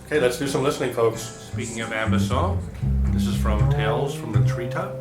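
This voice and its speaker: A man interviewing casually as an audio show reporter, speaking in an appreciative, halting way. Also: mid-range voice. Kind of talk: casual unscripted interview and commentary. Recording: roomy echo, music in background.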